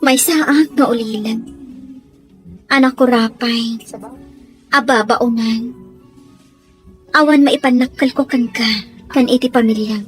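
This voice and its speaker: A woman's voice: fairly high pitch, fairly even intonation, takes it slowly, long pauses, soft background music. A woman playing a humble, hesitant young working woman in love, in an Ilocano radio drama, with an Ilocano accent. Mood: sad, hesitant.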